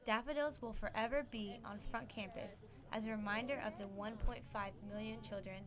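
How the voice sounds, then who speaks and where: A woman anchoring a student news show, reading scripted news in an even, measured way. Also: medium pitch, crowd noise.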